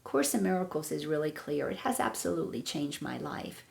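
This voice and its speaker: A woman's voice: deep voice, expressive, brisk, creaky, darkish tone. A woman awareness coach giving an earnest, reflective spiritual teaching talk, repeating words for emphasis.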